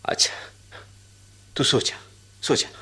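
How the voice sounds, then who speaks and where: A man's voice: slow, pushed and bright, whispered. An actor playing a wary, insistent elephant catcher in a radio drama, giving repeated orders to his partner. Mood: bitter, weary. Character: world-weary elephant catcher, urgent, bossy and wary.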